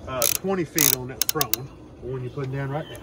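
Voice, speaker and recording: A man working as a fishing guide, giving brisk, practical instructions outdoors on a boat. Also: mid-range voice. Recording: loud engine and water noise.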